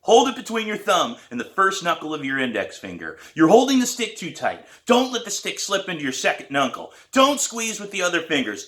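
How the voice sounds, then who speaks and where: A man's voice: high voice, pushed and bright, pitched above the performer's own voice, heavily acted. A man reading a story aloud and voicing a demanding, sarcastic male drum teacher who snaps out blunt orders.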